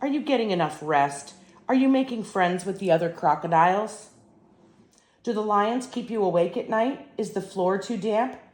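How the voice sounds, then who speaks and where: A woman's voice: mid-range voice, normal speed, darkish tone, echoey room, natural voice. A woman voicing a worried, polite, fussing motherly woman from a storybook, with a pleading way of speaking.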